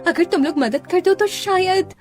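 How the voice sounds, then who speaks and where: Woman voicing a high, proud young sister-in-law. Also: moderate pace, a little mellow. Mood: remorseful. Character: proud young sister-in-law designer, haughty, later anxious. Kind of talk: melodramatic Hindi cartoon story dialogue. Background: music.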